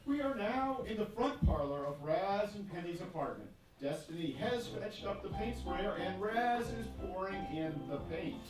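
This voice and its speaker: A man's voice: high voice, lively intonation, normal speed, few pauses, recorded from a distance, loud music behind the voice. A man announcing a live show in the style of an old-time radio announcer. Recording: noisy echoey stage, audience behind.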